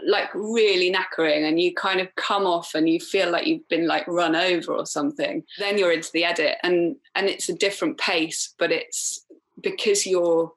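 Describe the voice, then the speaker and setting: A woman from Wales, chatting as a podcast guest, full of fillers and quick laughs. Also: fairly low pitch, steady tone, poor audio. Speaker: film director guest. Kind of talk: casual podcast chat.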